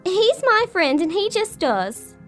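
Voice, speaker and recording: A woman's voice: extremely high pitch, sing-song, takes it slowly, a bit bright, faint music behind, exaggerated voice. A female performer playing a frightened, sulky, spoiled little English girl in an audio horror drama. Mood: sulky, scared.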